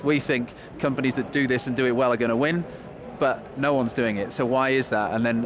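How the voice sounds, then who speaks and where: A man in his thirties with a Northern English accent, answering interview questions quickly and keenly with some fillers, at a convention hall. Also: mid-range voice. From England. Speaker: guest, company chief executive. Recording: busy trade show noise behind.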